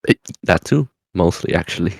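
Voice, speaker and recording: A man's voice: deep voice, animated, moderate pace, creaky, no echo, clean studio sound. A man co-hosting a podcast, chatting casually and joking about learning languages. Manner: playful, jokey, easygoing. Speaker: podcast co-host. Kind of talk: casual chat.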